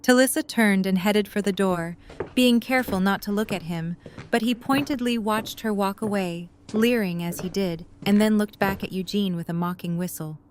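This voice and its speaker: A woman's voice: mid-range voice, moderate pace, a little hiss or hum. A woman narrating a scripted story as the narrator of an audio drama.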